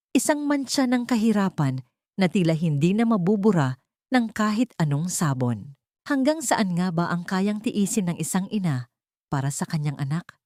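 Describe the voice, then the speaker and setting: Lowish-voiced woman narrating dramatic fiction. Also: a little mellow, no room sound, clean studio sound. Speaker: story narrator. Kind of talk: dramatic scripted audio story narration.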